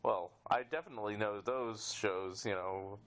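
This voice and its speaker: Low-voiced man hosting a casual interview. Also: moderate pace, fairly bright tone. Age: 50s. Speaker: podcast host interviewer.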